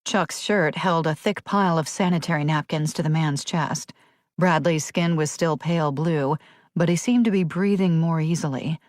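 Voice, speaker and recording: A woman's voice: low voice, normal speed, no echo, studio quality. A woman reading a thriller audiobook as a scripted, dramatic narration.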